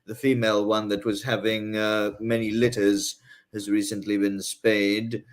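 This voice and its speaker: A man's voice: fairly low pitch, flat delivery, unhurried. Haughty rambling Englishman. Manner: rambling, many ums and rhetorical "right?"; haughty airs. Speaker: guest author. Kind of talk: casual interview talk.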